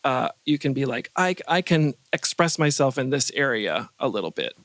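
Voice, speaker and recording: A man being interviewed as a podcast guest, speaking casually in a thoughtful, halting way with wry asides, close to the microphone. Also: medium pitch, sing-song, fairly bright tone, no room sound. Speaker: podcast guest, composer and sound designer.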